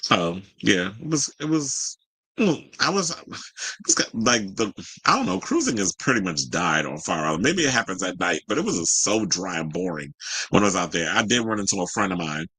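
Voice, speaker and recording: A man's voice: low voice, sing-song, normal speed, pushed and bright, slightly rough, dry recording, rough recording. A man hosting casual gossip commentary, chatty and candid, with a sassy, colloquial way of talking to his audience. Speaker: host of a commentary show.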